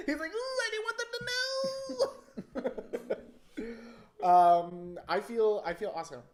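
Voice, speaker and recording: A man in his thirties co-hosting a casual advice chat, animated and joking, quick to laugh. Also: extremely high pitch, reverberant, noisy background. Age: 30s. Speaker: real estate agent, co-host. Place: indoor room set, seated at a table.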